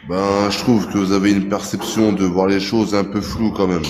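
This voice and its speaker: Deep-voiced hesitant French caller. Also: male voice, level tone, normal speed, background noise. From France. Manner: hesitant, many fillers. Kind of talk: live call-in chat.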